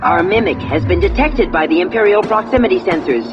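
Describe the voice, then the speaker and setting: An actress playing a fleet command officer in a space game, giving clipped, authoritative mission orders with composure. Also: female voice, mid-range voice, lively intonation, brisk, lo-fi sound, music in the background, natural voice. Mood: alert. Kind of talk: video game mission announcements.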